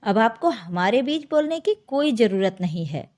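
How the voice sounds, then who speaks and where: A woman's voice: fairly high pitch, very animated, normal speed, a little mellow. A woman voicing an angry, possessive young husband, defiant and shameless, in a dramatic story. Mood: brazen defiance.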